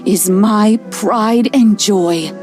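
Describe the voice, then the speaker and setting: A woman playing a kindly, doting old granny in a video game, speaking in a measured, fond and reassuring way. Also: medium pitch, a little mellow. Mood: fond, proud. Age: older. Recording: music behind the voice.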